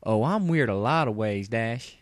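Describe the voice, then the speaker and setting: A man's voice: medium pitch, animated, a little slow, no room sound, voice pushed up, natural voice. A man voicing a teasing, flirtatious female country pony with a folksy, playful Southern American drawl in a storybook reading.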